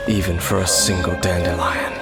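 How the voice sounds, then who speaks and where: Grave, mysterious wandering swordsman, lowish and measured, loud game music under it. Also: little pitch movement. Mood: solemn. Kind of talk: video game voice acting.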